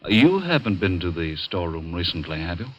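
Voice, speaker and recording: Deep-voiced, stern husky doctor. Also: male voice, very animated, poor audio. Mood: clinical. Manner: firm, measured, admonishing. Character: stern, authoritative male doctor with cool, controlled temper. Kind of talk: 1940s radio drama acting.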